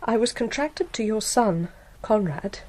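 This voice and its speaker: A woman's voice: mid-range voice, expressive, measured pace, a little mellow, rough recording. A young woman playing a proud, passionate noble maiden in a Gothic radio drama, declamatory and emphatic. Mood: outraged, anguished. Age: young adult.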